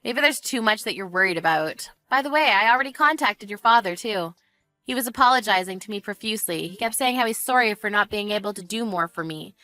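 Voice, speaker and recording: A woman's voice: medium pitch, expressive, fairly quick, sharp tone, dry recording, soft background music, low-quality recording. A woman voicing a fed-up, sarcastic wife with a cutting, smug manner in a narrated family drama. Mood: smug, mocking. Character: fed-up, sarcastic young wife taunting her in-law.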